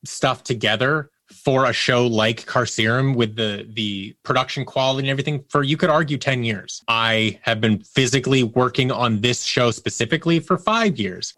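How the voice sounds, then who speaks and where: Man, mid-pitched voice, interview guest, earnest, reflective, explanatory, very dry sound. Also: a bit bright, dry recording. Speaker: interview guest, audio drama producer. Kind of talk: conversational interview.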